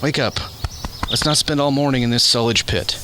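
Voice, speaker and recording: Man playing a steady, reassuring scientist, noisy recording. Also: medium pitch, moderate pace, natural voice. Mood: calm, easy. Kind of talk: radio drama acting.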